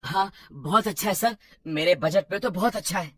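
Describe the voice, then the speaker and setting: A woman voicing a polite, anxious young working man, deferential and hesitant, in an animated horror story. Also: on the low side, moderate pace, breathy edge, dry recording, clean studio sound. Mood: pleased.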